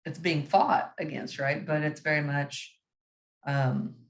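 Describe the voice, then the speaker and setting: A woman with a deep, low voice leads a class discussion forum over a video call. She talks conversationally and keeps checking in with her listeners. The room around her echoes noticeably. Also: slow pace. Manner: conversational, often adds "right?" to check in. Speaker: discussion moderator.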